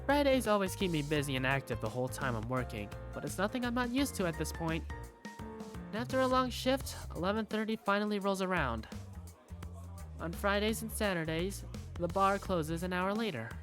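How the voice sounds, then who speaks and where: A woman's voice: medium pitch, very animated, moderate pace, over music, natural voice. A woman voicing an easygoing young male bar waiter who narrates his day in a visual novel fan dub. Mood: easygoing, wry.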